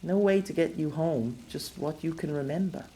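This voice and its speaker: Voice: medium pitch, moderate pace, warm tone, pitched above the performer's own voice, lightly acted, poor audio. A narrator voicing a young magical woman, tearful but resolute, in an audiobook. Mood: urgent. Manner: pleading, emotional. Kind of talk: audiobook character voice.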